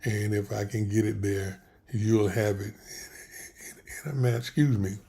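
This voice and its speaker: A pastor hosts a casual livestream from his computer setup. His voice sits low and sounds gravelly, and he is losing his voice. He wanders and restarts his sentences as he talks. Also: steady tone, mellow. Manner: rambling, halting, many restarts. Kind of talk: casual livestream talk with prayer.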